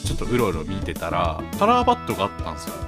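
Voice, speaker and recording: A man's voice: sits low, very fast. A man co-hosting a radio show, casually telling an anecdote and acting out the dialogue in it. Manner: animated, acts out quoted dialogue. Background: background music.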